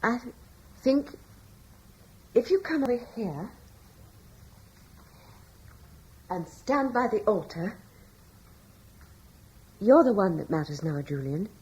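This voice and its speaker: An actress playing a devout, gentle young wife in a radio drama, speaking in an earnest, tender and slightly hesitant way. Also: female voice, mid-range voice, animated, a little slow, pauses often, slightly rough, muffled recording. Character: devout, gentle young wife in ancient times, calmly reassuring.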